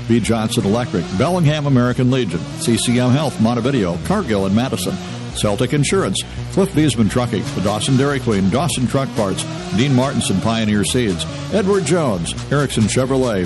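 A man reads a long sponsor list for a local radio broadcast in a steady, even rhythm. A clear music bed plays under his voice the whole time. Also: mid-range voice, a little fast. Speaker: radio announcer reading sponsors. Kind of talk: scripted sponsor read.

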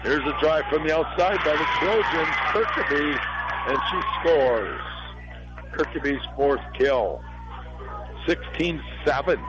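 A middle-aged American man with a hoarse, failing voice gives folksy live play-by-play commentary on a local volleyball game in short phrases. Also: on the high side, slow. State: hoarse, losing his voice from allergies. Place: high school gym. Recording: dull lo-fi radio broadcast sound.

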